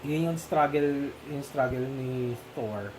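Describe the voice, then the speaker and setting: A Filipino man talks through a film with a friend, stopping and restarting, laughing along the way. His voice sits at a mid pitch. A steady hiss of noise runs under the recording. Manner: halting, many restarts and fillers, laughs often. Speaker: movie discussion co-host. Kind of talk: casual Taglish chat. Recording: noisy background.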